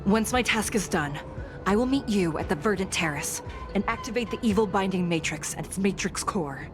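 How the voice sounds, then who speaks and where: A woman voicing a composed, authoritative, dutiful warrior in a video game, speaking formally and calmly. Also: mid-range voice, close to an everyday voice. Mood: resolute. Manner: formal, calm, measured. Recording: game music behind the voice.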